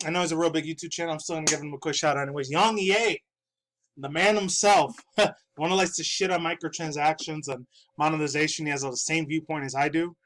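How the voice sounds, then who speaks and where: A man's voice: on the high side, fairly even intonation, quick pace, fairly bright tone, slight background noise. A man in his thirties, a little stuffy-nosed, rambling casually and profanely through gaming news in his room, often laughing. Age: 30s. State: stuffy from dust. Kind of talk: casual video commentary.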